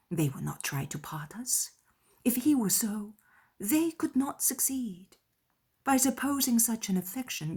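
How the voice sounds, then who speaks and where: A hushed, breathy young gentlewoman, acted by a woman from a period audiobook drama. She is tender and entreating, with a husky softness that drops close to a whisper as she earnestly asks others to think kindly. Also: medium pitch. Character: gentle, soft-spoken young woman pleading for charity toward others. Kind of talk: dramatized period novel dialogue.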